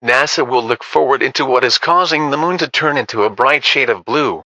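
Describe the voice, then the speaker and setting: A bright, crisp synthetic man's voice reads the news as an anchor. The delivery is formal and measured, recorded completely dry. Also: mid-range voice, no echo, muffled recording. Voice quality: synthetic text-to-speech voice. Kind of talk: scripted news report.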